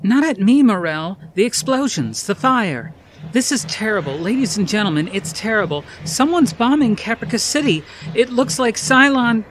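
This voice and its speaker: A man's voice: sits high, expressive, moderate pace, a bit bright, slight background noise, pitched above the performer's own voice, poor audio. A man voicing an urgent, breathless female TV news reporter in a dramatic audiobook reading. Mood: horrified. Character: panicked female TV news reporter amid an attack.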